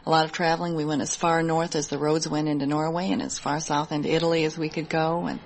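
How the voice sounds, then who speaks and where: A woman's voice: low voice, flat delivery, quick pace, a little hiss or hum. A woman in her thirties with an American accent, giving conversational answers as an interview guest. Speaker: interview guest, Army wife.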